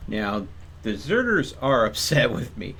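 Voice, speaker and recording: A man's voice: mid-range voice, very animated, unhurried, gravelly, fairly bright tone, slight background noise. A man giving casual live game commentary in a musing, explanatory way, drawing out some phrases as he thinks. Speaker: game streamer.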